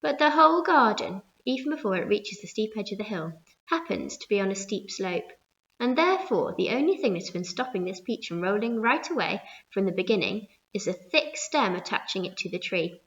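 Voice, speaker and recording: A woman voicing a kind, gentle female ladybird in a storybook reading. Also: medium pitch, expressive, normal speed, dull audio. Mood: explaining. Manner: gentle, motherly.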